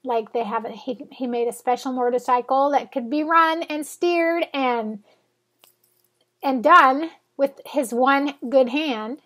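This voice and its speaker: A woman's voice: high voice, takes it slowly, fairly bright tone. A woman in her forties, stuffed up from a cold, chatting in a rambling, self-correcting way as she talks to her blog readers. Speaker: blogger. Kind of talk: casual vlog chat.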